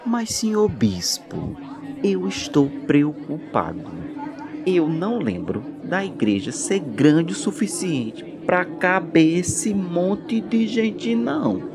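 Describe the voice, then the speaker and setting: A woman's voice: on the low side, very animated, slow, heavily acted. A woman playing a worried small-town churchman in a radio soap opera, speaking in a hesitant, deferential way. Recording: noisy crowd ambience behind.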